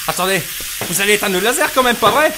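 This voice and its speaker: A man plays a nervous cowardly henchman in a comic audio drama. He is whiny and hesitant, stumbling over evasive pleas, with a clear sizzling noise behind him. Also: sits high, expressive, fast talker. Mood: panicked. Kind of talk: comedic audio drama acting.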